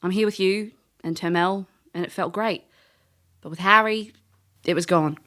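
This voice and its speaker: A woman's voice: lowish voice, moderate pace, a bit bright, natural voice. An actress playing a world-weary female elf, a former thief, dry and blunt in an audio drama. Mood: downcast, regretful. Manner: dry, blunt, matter-of-fact.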